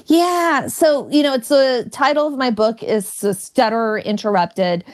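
A woman in her forties speaking as an interview guest. She stutters, repeating first sounds and blocking on words. She talks candidly with quick asides. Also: a little high. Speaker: comedian and author, guest. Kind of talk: interview conversation.

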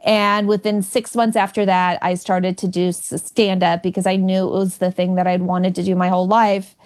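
A woman in her forties speaking as an interview guest. She stutters, repeating first sounds and blocking on words. She talks candidly with quick asides. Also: fairly low pitch, flat delivery. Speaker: comedian and author, guest. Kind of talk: interview conversation.

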